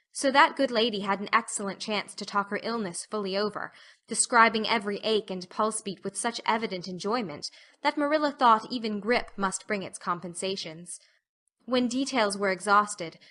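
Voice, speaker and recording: Bright-voiced woman narrating a novel. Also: mid-range voice. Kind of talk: scripted literary audiobook narration.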